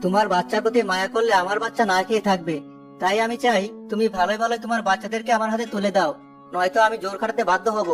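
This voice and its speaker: A woman's voice: mid-range voice, steady tone, rapid-fire, natural voice. A woman playing a greedy, impatient man who catches birds, blunt and threatening, in a children's cartoon. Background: music.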